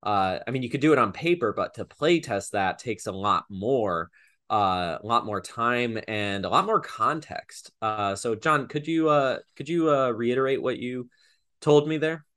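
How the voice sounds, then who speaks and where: Bright, forward-sounding male host, casual and rambling. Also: medium pitch, lively intonation, a little slow. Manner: rambling, hesitant, many fillers. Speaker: podcast host and game master. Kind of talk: casual chat.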